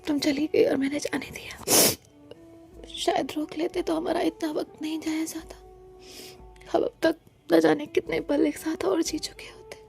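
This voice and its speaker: A woman voicing a gentle young woman who consoles her lover in a soft whisper. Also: very high voice, flat delivery, moderate pace, vocal fry, faint music behind, full character voice. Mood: tender.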